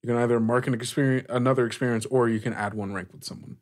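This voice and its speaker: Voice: mid-range voice, fairly even intonation, quick pace. A game master hosting a tabletop session in an informal, slangy, encouraging way, chatting casually with the players.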